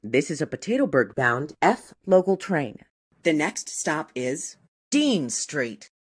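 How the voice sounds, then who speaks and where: A woman reading scripted train announcements in a measured, formal, segmented way. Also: low voice, big pitch swings, husky. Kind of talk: scripted transit announcement, spliced clips.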